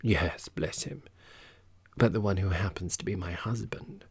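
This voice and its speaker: A man's voice: deep voice, lively intonation, measured pace, darkish tone, husky, no room sound, clean studio sound, full character voice. Man voicing an exuberant, teasing woman singer. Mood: playful. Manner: playful, exclamatory. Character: exuberant, teasing woman opera singer, warm-hearted and playful. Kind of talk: audiobook character voice.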